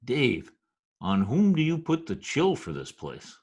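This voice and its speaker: Man playing a husky, lively Broadway storyteller. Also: medium pitch, measured pace, exaggerated voice. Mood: amazed. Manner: folksy present-tense slang, deadpan storytelling. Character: wisecracking male Broadway hustler narrating his tale. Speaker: narrator and supporting character. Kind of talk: 1940s radio drama narration in New York street slang. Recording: music behind, home video-call radio play.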